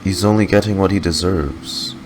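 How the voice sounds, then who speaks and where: A man's voice: deep voice, fairly even intonation, moderate pace, over loud music, rough recording. A man giving casual reaction commentary on a video game in muttered running asides. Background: video game soundtrack.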